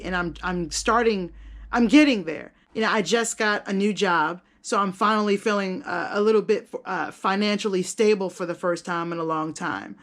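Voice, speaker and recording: A woman chatting casually to her viewers as a vlogger, candid, self-deprecating and rambling, with slangy language and casual swearing. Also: mid-range voice. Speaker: video blogger and content creator. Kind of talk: casual vlog chat to viewers.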